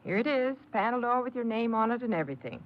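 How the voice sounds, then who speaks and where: An actress playing a friendly, encouraging young church group director in a 1950s radio drama. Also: female voice, a little high, expressive, fast talker, lightly acted. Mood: cheerful, teasing. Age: young adult. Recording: muffled, hissy 1950s radio recording.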